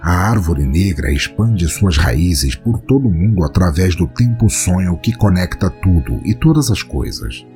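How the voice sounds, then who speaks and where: A low-voiced Brazilian man delivers scripted audio-drama narration with a very animated, swooping pitch. His tone is dark and mellow, and his manner is theatrical and ornate. Faint music plays behind him. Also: measured pace, hardly any pauses. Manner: theatrical, florid wordplay. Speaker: podcast host and storyteller.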